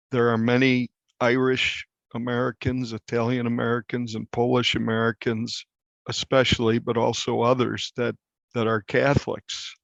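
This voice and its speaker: A man being interviewed as a reporter, speaking in a measured, halting way and repeating phrases for emphasis. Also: medium pitch, vocal fry, fairly bright tone, dry recording, lo-fi sound.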